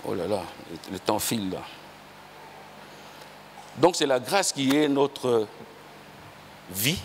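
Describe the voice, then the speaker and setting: A man's voice: mid-range voice, sing-song, takes it slowly, a little hiss or hum. A man with a Congolese accent preaching as a church elder, teaching emphatically in short, repeated phrases that leave room for an interpreter. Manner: emphatic, repetitive, didactic. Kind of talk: sermon delivered phrase by phrase with an interpreter.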